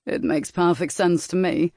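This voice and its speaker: A woman's voice: lowish voice, fairly even intonation, normal speed, gravelly, mellow, no room sound, studio quality. A woman reading a teasing aristocratic rake. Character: languid, teasing aristocratic rake, adult man.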